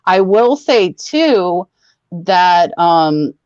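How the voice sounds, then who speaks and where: A woman hosting a casual livestream chat, talking in a brisk, rambling, matter-of-fact way. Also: on the low side, big pitch swings, darkish tone, clean tone, dry recording, lo-fi sound. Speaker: host.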